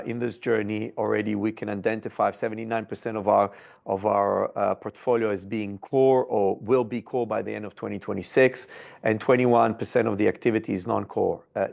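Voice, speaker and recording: A man giving a corporate earnings presentation as a company executive, speaking haltingly with frequent hesitations and repeated words. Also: lowish voice, creaky, a little mellow.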